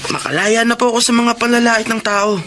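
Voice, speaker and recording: A man's voice: extremely high pitch, steady tone, fairly quick, pushed and bright. An actor playing a humble, patient young man working as a janitor while he studies, speaking politely and with restraint. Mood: earnest. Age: young adult. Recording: noticeable background noise.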